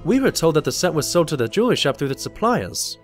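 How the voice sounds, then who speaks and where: A man's voice: a little high, sing-song, fast talker, faint music behind. A man playing a polite but skeptical pony doctor, a detective's sidekick, in an audio drama. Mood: incredulous.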